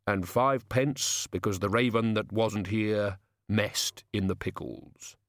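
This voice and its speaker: Taciturn bartender, male narrator, low, slow, flat delivery, dry sound. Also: no room sound. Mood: laconic. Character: gruff taciturn male barman.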